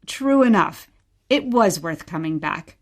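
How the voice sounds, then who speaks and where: A woman's voice: lowish voice, lively intonation, unhurried. A woman playing a wistful mother reminiscing about exile and telling her story simply in a radio play. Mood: reflective. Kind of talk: short radio play dialogue, bedtime storytelling.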